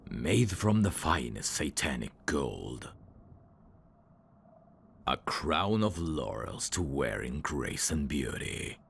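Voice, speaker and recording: Guy doing a super deep gravelly demon servant, formal n courtly, slow talker, asmr roleplay. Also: sing-song, slight background noise, heavily acted. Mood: courtly, tender. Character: suave, devoted male demon servant of hell's royalty. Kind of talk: ASMR roleplay audio drama.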